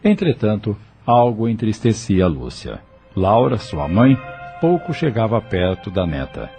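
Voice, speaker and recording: A man's voice: on the low side, sing-song, unhurried, gravelly, warm tone, soft background music. A man narrating an audio novel in a dramatic, scripted storytelling style.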